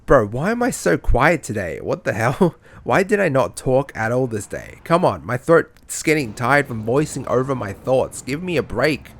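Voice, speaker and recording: A man's voice: mid-range voice, sing-song, normal speed, hardly any pauses. Vlogger man doing chatty voice-over, swears now and then, faint noise. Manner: chatty, self-mocking, swears casually. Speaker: travel vlogger. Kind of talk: casual voice-over narration.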